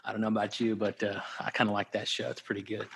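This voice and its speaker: Rambling podcast host. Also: male voice, on the low side, level tone, fast, creaky, slight background noise. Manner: rambling, many fillers and repeated "for sure". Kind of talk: casual interview chat.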